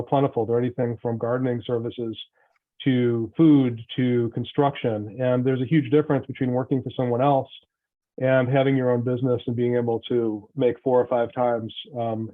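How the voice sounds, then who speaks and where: Earnest man over tinny call audio. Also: mid-range voice. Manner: earnest and conversational, thinks aloud. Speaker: city council candidate. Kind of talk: panel interview answer.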